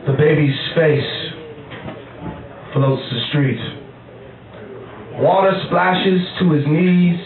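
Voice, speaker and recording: Guy doing spoken word at a cafe, kinda high voice, tinny phone-like sound. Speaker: poet. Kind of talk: live spoken-word poetry reading. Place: small cafe poetry night, indoors. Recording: distant, echoey, phone-like lo-fi live recording.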